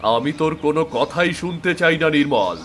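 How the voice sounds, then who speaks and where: A man voicing an accusing, vengeful older brother in an animated thriller. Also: fairly high pitch, normal speed, soft background music. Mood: bitter, accusing. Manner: accusing, confrontational. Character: furious, vengeful older brother of a wronged sister.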